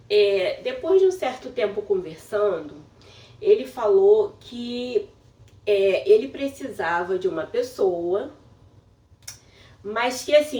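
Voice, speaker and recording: A woman's voice: mid-range voice, dark tone. A woman from Brazil telling her story casually to camera, often laughing and explaining things for her husband. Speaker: vlogger.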